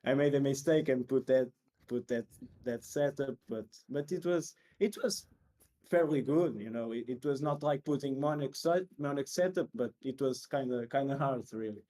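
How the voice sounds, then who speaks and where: A man from Portugal speaking English with a Portuguese accent, in halting, repetitive phrases, interviewed over a voice chat call. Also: medium pitch, mellow. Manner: halting, repeats words, searching for English. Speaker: interviewed sim racer. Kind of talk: casual interview.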